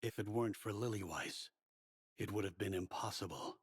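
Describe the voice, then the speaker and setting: An actor playing a grave, weary male commander in a game cutscene, solemn, measured and authoritative, with a husky voice. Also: on the low side. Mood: stern, resolute. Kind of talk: dramatic game cutscene voice acting.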